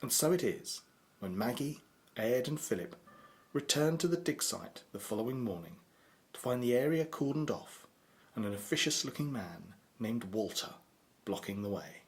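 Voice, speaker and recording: A man's voice: mid-range voice, lively intonation, normal speed, darkish tone, lightly acted. A man narrating an audio drama as a wry storyteller, reading scripted prose. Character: wry male storyteller narrating an adventure tale.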